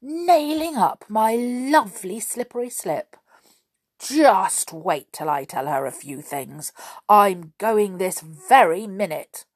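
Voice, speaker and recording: A woman's voice: deep voice, expressive, extremely slow, hardly any pauses, fairly bright tone, husky, dry recording, heavily acted. A woman voicing a hot-tempered, indignant male moon creature in a storybook reading. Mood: outraged. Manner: indignant exclamations and muttered rhetorical questions.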